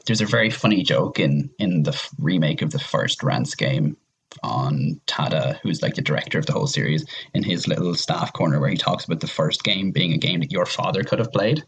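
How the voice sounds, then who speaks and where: Casual male podcast guest, low register with vocal fry, informal and slangy. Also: fairly quick, a bit bright. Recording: clearly noisy background with clicks.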